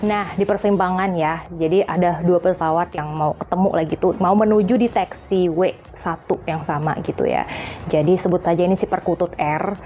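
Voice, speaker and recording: A woman's voice: fairly low pitch, steady tone, moderate pace, mellow. A woman hosting a casual, chatty educational explainer in a playful, jokey, colloquial way. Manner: playful, jokey, acts out little dialogues to illustrate points. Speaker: host of an aviation explainer channel.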